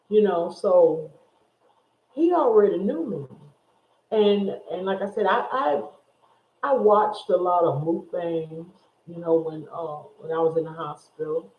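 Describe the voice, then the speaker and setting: A speaker in their sixties chatting casually to viewers, rambling and repeating phrases as they go. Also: medium pitch. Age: 60s. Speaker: YouTube vlogger. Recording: echoey room over a tinny phone-like line.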